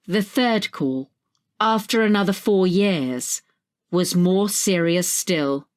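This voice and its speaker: Female narrator, slightly low voice, reading scripted short fiction. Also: animated, takes it slowly. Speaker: story narrator.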